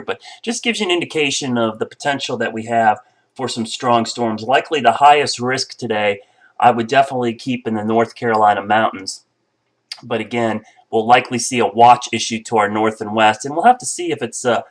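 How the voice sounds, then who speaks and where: Middle-aged male chief meteorologist, nasal and congested from a cold, casual explanatory delivery. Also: medium pitch. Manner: explanatory, relaxed, with frequent fillers. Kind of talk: weather briefing.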